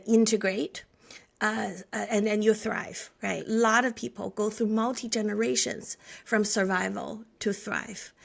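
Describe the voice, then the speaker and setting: A woman's voice: mid-range voice, vocal fry, fairly bright tone. Chinese-accented woman telling her story. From China. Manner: frequent restarts and filler words, earnest storytelling. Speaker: guest. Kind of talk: conversational interview.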